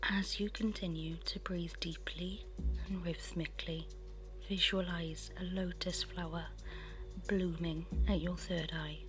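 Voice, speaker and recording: A woman leads a guided meditation in a soft, breathy half-whisper. Her voice is on the low side and very unhurried, with a soothing delivery. Music plays clearly behind her. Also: steady tone. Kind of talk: guided meditation, scripted narration.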